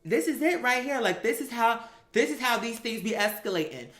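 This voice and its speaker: Sassy, bright-voiced woman storyteller in an echoey room. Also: on the high side, lively intonation, a little fast. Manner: sassy, emphatic, acts out quoted dialogue; profane, slangy. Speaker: storyteller recounting a personal experience. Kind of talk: animated personal storytelling.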